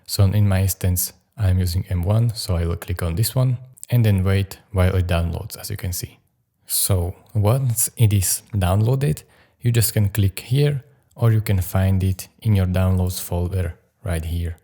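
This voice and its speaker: Male tutorial narrator, deep and soft-toned, giving step-by-step software instructions. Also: unhurried. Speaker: tutorial host.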